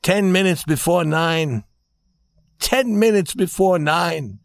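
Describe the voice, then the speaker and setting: A man voicing a troubled, wry young gentleman who is losing hope, in a storybook reading. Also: sits high, takes it slowly, vocal fry, dry recording, clean studio sound, voice pushed up, exaggerated voice. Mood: despairing.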